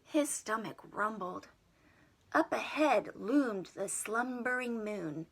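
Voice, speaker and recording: A woman preschool teacher reading a storybook aloud and chatting to young children, expressive and full of questions for her listeners. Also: fairly high pitch, slow pace, husky.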